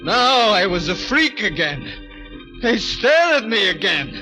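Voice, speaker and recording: A desperate, tormented giant in an old radio drama. His gravelly voice swings widely in pitch and is intensely melodramatic, with music behind it. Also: big pitch swings, slow pace, exaggerated voice, low-quality recording. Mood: anguished. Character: tormented growing giant man, violent, desperate, former freak. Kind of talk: vintage radio drama narration and acting.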